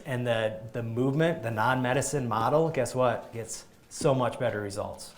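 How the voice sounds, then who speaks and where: Energetic man giving a health talk. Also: medium pitch, slight background noise. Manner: energetic, emphatic, frequent restarts. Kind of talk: live health talk to a group.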